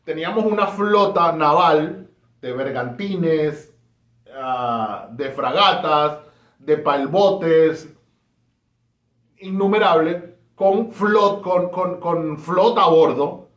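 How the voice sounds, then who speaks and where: A man's voice: a little high, slow, fairly bright tone. A man from Caracas with a Venezuelan accent, giving an emphatic, rhetorical talk on history. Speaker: history presenter. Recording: roomy echo.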